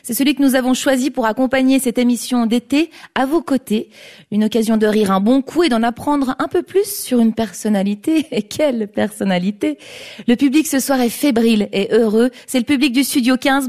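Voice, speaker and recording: A woman hosting a live studio show in front of an audience, speaking in a lively presenter manner. Her voice sits a little high. She introduces performers and questions her guest. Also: normal speed. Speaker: host.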